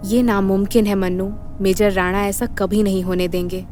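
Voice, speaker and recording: A woman's voice: mid-range voice, level tone, moderate pace, a little mellow, faint music behind, natural voice. A woman acting a resolute, dutiful spy in love, in a romantic audio drama. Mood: firm, sad.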